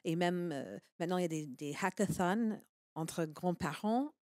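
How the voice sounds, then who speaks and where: American woman in her 40s on a panel, lowish mellow voice, dry sound. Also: moderate pace, dry recording. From United States. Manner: earnest, emphatic, with frequent fillers. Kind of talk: panel discussion.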